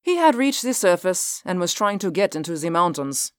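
A man's voice: high voice, sing-song, normal speed, clear, resonant tone, no room sound, clean studio sound, pitched above the performer's own voice. A man voicing an agitated, demanding security chief in an audiobook story. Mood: relieved, curt. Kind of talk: audiobook character dialogue.

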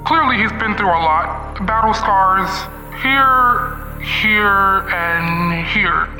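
A shady, boastful dealer in a radio mystery, with a high voice full of slangy wisecracks. He sounds domineering, in a roomy space with music underneath. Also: extremely slow, heavily acted. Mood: boastful, wary. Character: shady, domineering, fast-talking male instrument dealer. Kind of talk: old-time radio mystery acting.

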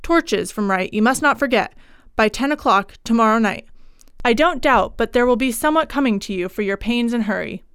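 A woman voicing a male country clergyman in a storybook reading, formal, brisk and authoritative. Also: on the high side, animated, fairly bright tone, clean tone, dry recording, clean studio sound. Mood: brisk, impatient.

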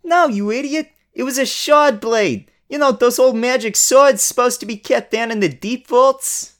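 A woman's voice: a little high, unhurried, lightly acted. A woman voicing a brash, irritable male city guard officer in a fantasy radio drama, with blunt, slangy talk. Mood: exasperated. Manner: slangy, blunt, deferring to boss.

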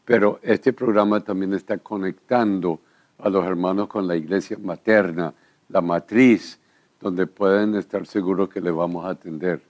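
A man's voice: low voice, fairly even intonation, moderate pace, darkish tone. An older man, a pastor, talking earnestly in a church program conversation.

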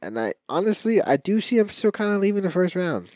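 A man's voice: high-pitched, big pitch swings, brisk, darkish tone, no room sound. A man with a stuffy, allergy-clogged voice co-hosts a sports podcast in a loose, rambling way. He jokes around and often restarts his sentences. The sound is close and dry, with no room around it. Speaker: podcast co-host. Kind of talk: casual sports chat.

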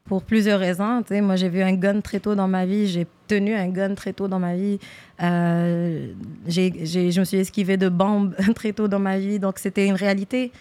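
A woman's voice: mid-range voice, little pitch movement, normal speed, dark tone. A woman in her thirties, from Algeria, whose first language is an Algerian Arabic dialect. She talks casually as a guest in an interview and slips English phrases into her French. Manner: mixes French with English phrases, frequent fillers. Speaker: guest rapper.

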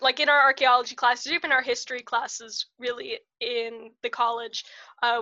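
Bubbly high-voiced woman host, muffled audio. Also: sharp tone, no echo. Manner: chatty, laughs often, many fillers. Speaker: podcast host. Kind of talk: casual interview chat.

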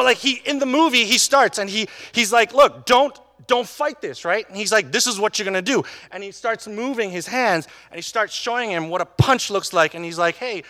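High-voiced pastor in a reverberant hall. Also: male voice, sharp tone. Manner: conversational, tag questions, restarts. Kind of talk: sermon.

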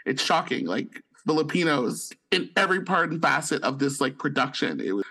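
A man from Canada co-hosting a podcast, animated and quick to laugh as he tells stories. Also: on the high side. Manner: animated storyteller, laughs easily. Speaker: podcast co-host. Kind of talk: casual chat.

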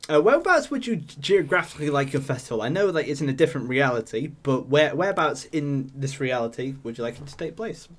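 A man's voice: a little high, very animated, quick pace, hardly any pauses. A man hosting a podcast in casual chat, self-effacing and often stumbling as he starts a sentence. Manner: hesitant, self-effacing, stammering over starts. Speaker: podcast host.

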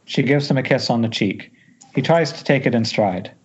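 A man's voice: mid-range voice, moderate pace, slightly rough. Man reading script directions, muffled sound. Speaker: stage directions reader. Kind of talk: scripted narration at amateur table read. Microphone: Skype call. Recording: dull lo-fi internet call.

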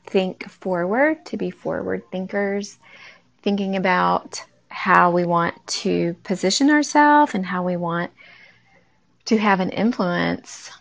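A woman hosting a faith-based talk, speaking conversationally to her listeners with a raspy early-morning voice. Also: on the low side. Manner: warm conversational, addresses listeners directly. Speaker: podcast host. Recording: dull lo-fi recording.

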